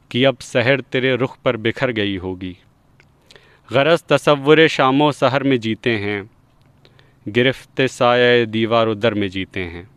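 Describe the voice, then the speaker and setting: A man's voice: mid-range voice, a bit bright, smooth, no room sound. A man presenting and reciting Urdu poetry.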